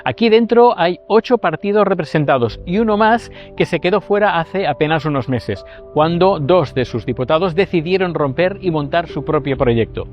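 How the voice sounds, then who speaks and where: A man's voice: a little high, expressive, normal speed, few pauses, dull audio. Man presenting, faint music. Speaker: interviewer, host. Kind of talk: scripted intro, then interview questions.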